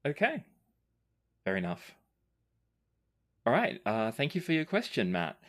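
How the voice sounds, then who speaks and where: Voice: medium pitch, wildly swooping pitch, measured pace. A podcast host and game master reading listener questions aloud and chatting casually, in a conversational, playfully emphatic way.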